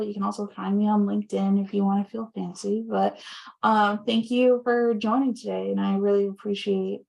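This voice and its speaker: A woman guest speaker on a video call, soft-spoken with frequent ums, slightly hoarse from talking long. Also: mid-range voice, rough recording. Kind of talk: presentation wrap-up and casual chat on a video call.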